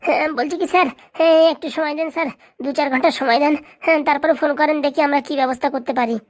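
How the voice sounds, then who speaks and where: A woman's voice: sits high, steady tone, very fast, lightly acted. A woman voicing a distraught male villager in a comedy cartoon, whining and pleading deferentially. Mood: anxious pleading.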